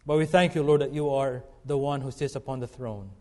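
A middle-aged man from the Philippines, preaching a sermon in an earnest, halting way full of fillers and restarts. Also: medium pitch, fairly even intonation, warm tone. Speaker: guest preacher. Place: church sanctuary. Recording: long reverb of a church hall.

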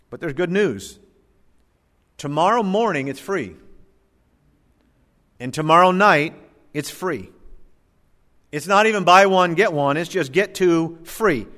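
A middle-aged male pastor preaching a sermon in a church, moving between loud emphatic bursts and quiet, low asides. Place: church sanctuary. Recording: church hall reverb.

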